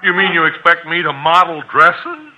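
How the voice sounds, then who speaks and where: A man's voice: a little high, fairly even intonation, normal speed, pushed and bright. An actor playing a flustered, reluctant businessman with hesitant stammering, in 1950s radio sitcom style. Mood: bewildered. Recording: very noisy old radio broadcast.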